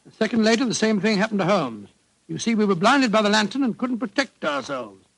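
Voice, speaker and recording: A creaky, high-pitched older British gentleman in vintage radio drama. He is fussy and blustery, a loyal companion given to grumbling. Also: very animated, fairly quick, exaggerated voice, rough recording. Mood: genial storytelling. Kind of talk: 1940s radio drama acting.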